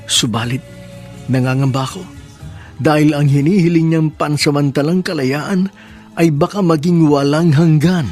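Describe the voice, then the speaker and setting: A man reading a confiding letter aloud in an earnest, measured way. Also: on the high side, fairly even intonation, a little mellow, soft background music. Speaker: letter sender.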